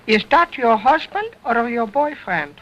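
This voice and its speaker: A man's voice: extremely high pitch, very animated, a little slow, heavy background noise, pitched above the performer's own voice, exaggerated voice. A man voicing a sassy boy ventriloquist dummy, lo-fi. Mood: impudent. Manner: fresh-mouthed, broken English, talks back. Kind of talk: vaudeville ventriloquist routine. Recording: dull lo-fi old recording.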